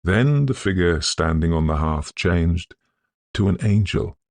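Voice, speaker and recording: A man narrating a literary ghost story in a measured, solemn, scholarly manner, with a gravelly, husky voice. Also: deep voice, animated, darkish tone, no room sound. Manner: measured, deliberate, solemn delivery.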